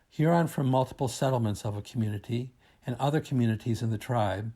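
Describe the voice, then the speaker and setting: A man reading a scripted academic presentation aloud in a formal, measured and deliberate manner. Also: mid-range voice, mellow. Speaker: academic researcher presenting a paper.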